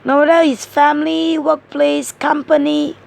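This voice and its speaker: Hoarse high-voiced woman reading tarot. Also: little pitch movement, measured pace. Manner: earnest, explaining cards point by point. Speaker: tarot reader. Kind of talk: tarot card reading monologue.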